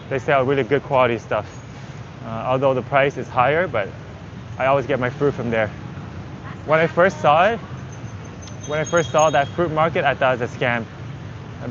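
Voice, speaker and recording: A man who is a native Vietnamese speaker chats casually in English, rambling and thinking aloud like a walking travel livestreamer. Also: mid-range voice. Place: outdoors on a busy city street. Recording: dull audio with loud street traffic. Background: traffic, vehicles, urban street noise.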